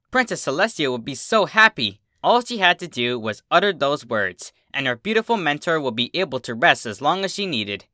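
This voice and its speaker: A man giving an expressive scripted narration of a story, as the host of a readings channel. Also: mid-range voice, no room sound, studio quality.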